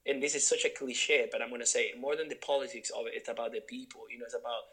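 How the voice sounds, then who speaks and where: A man's voice: mid-range voice, steady tone, fast talker, a bit bright. Earnest young man from Honduras. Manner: earnest, searching, many restarts and fillers. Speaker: guest. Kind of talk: reflective interview conversation.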